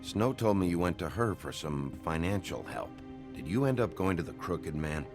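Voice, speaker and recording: A gruff, tired fairytale investigator in a noir game. His low, creaky voice moves expressively through dry, blunt lines, with music playing behind him. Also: big pitch swings, moderate pace. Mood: firm, pressing. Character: weary, gruff hard-boiled fairytale investigator, adult man. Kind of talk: noir video game voice acting.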